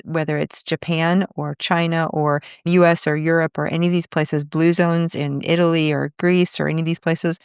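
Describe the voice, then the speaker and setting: A woman physician explaining her work in an interview, steady and explanatory, listing examples as she goes. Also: sits low, smooth, no echo, clean studio sound. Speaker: functional medicine physician.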